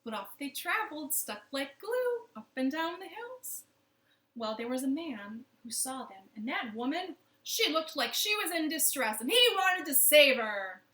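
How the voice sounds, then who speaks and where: A woman's voice: high-pitched, big pitch swings, a little slow, bright, forceful tone. A woman telling a children's story expressively from her living room, speaking directly to young listeners. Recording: roomy, echoing home living room.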